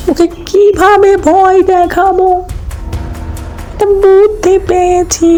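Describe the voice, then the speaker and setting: A menacing female ghost from a horror cartoon. The voice is piercingly high and stays on a narrow, even line. Every word is stretched very slowly as it hungers for human necks. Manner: slow, drawn-out menacing delivery. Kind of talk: cartoon horror-comedy voice acting.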